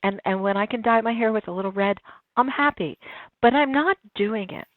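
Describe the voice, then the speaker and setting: A woman's voice: fairly high pitch, a little fast, no echo. A woman in her sixties, a guest in an interview, talking reflectively and telling stories with frequent restarts, over a tinny line. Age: 60s. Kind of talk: casual interview conversation. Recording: tinny phone-line sound, dry.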